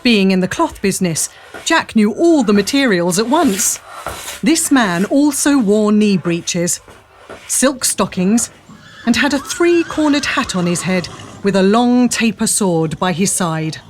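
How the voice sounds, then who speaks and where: A woman's voice: medium pitch, animated, measured pace. A woman narrating a story from a script in a dramatic audio drama style.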